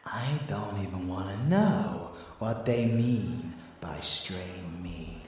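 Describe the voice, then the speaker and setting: A man's voice: deep voice, heavy reverb, in a large hall, distant mic, slight background noise, heavily acted. A man playing a seductive, predatory vampire lover in an ASMR audio drama, murmuring intimately and sometimes sinking to a whisper. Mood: disgusted.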